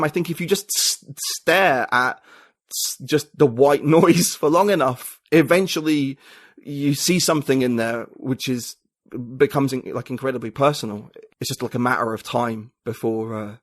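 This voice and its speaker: A man's voice: mid-range voice, dry recording. Reflective British man, dry close sound. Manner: hesitant, many restarts and fillers, reflective. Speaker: podcast guest, writer. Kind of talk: casual conversation.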